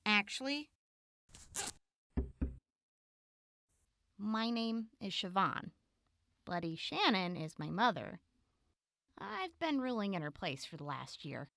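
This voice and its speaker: An actress playing a composed, authoritative young raider leader in an audio drama, speaking in a measured, deliberate way. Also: female voice, mid-range voice, big pitch swings, creaky, sharp tone, no echo, clean studio sound, exaggerated voice. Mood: proud, calm. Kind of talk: post-apocalyptic audio drama acting.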